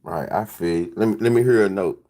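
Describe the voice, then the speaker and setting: A man's voice: on the low side, brisk, mellow. A man hosting a livestream in laid-back, slangy chat, often repeating his phrases. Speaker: livestream host.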